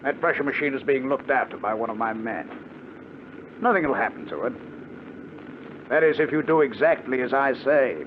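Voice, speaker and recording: An actor playing a calm, menacing hijacking gang leader with a deliberate, commanding delivery in 1950s radio drama style. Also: male voice, mid-range voice, lightly acted. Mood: cool, menacing. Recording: noisy, muffled 1950 radio recording.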